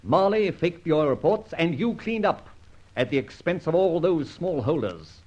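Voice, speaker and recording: A husky, dashing masked vigilante in a vintage radio detective serial. He is sardonic and commanding, with theatrical, widely swooping delivery. The old recording sounds dull. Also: fairly high pitch, animated, unhurried, darkish tone. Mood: accusing, commanding. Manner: theatrical, clipped, declamatory. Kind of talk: old-time radio drama acting.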